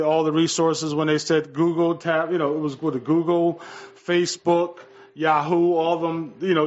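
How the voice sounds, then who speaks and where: Male talk radio host, higher register, hesitant and agreeable delivery, somewhat lo-fi sound. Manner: hesitant, repetitive phrasing, often echoing and agreeing. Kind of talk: political talk radio conversation.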